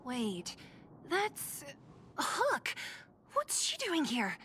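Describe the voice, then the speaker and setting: A woman playing a cautious young adventurer in a video game, bossy but caring, speaking with hushed urgency. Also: high voice, huge pitch swings, very slow, slight background noise, exaggerated voice. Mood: wary, suspicious.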